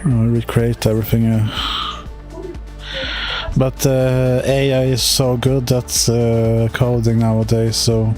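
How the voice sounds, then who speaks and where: A Swedish-accented man speaks slowly in a low-key, unhurried drawl, his tone mellow and even with little rise and fall. He stumbles through his thoughts with frequent pauses and filler sounds, chatting casually to a stream audience while soft music plays faintly behind him. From Sweden. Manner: hesitant, halting, many filler sounds. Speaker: streamer and game developer. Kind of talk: casual live-stream reaction chat.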